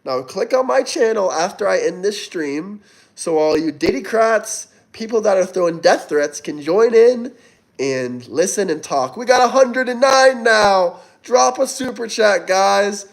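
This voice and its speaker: A man's voice: high voice, expressive, measured pace, creaky. A man in his twenties from Florida, hosting a casual livestream and answering viewer chat as he reads it out. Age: 20s. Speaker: livestream host.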